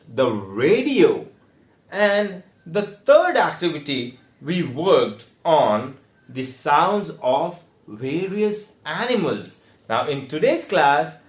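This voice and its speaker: A man with an Indian accent giving a theatre lesson in a deliberate, step-by-step way with emphatic stressed words. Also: on the high side. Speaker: theatre teacher. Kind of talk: instructional online lesson with demonstrations. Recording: echoey, reverberant room.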